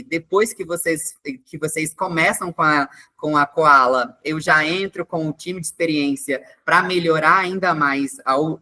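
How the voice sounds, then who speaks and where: A man's voice: a little high, sharp tone. Chatty man hosting a webinar. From Minas Gerais, Brazil. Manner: chatty, many fillers like "né" and "tá". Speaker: webinar host, experience coordinator. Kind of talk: online webinar presentation. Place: online video call.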